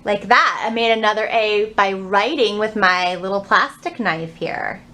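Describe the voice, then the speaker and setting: A woman's voice: medium pitch, a little slow, sharp tone. A woman presenting a children's storytime, explaining things simply, asking young listeners questions and reading aloud.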